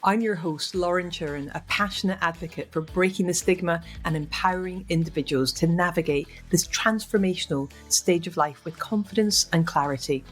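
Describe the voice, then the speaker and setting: A woman's voice: fairly low pitch, a little fast, hardly any pauses, soft background music. A woman hosting a podcast, sounding upbeat and enthusiastic as she introduces the show and her guest. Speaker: podcast host. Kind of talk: scripted podcast intro then conversation.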